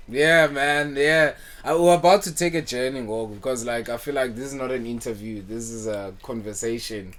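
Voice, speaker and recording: A man with a South African accent hosting a casual podcast, relaxed, often repeating words and dropping in isiXhosa phrases. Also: medium pitch, normal speed, hardly any pauses. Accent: South African English. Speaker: podcast host.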